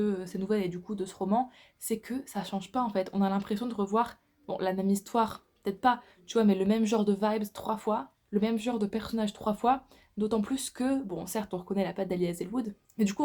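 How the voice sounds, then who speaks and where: A woman in her twenties talks as a book vlogger in a soft-edged, mellow voice at a medium pitch. Her delivery is a casual, informal chat to the viewer, loose and full of slang. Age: 20s.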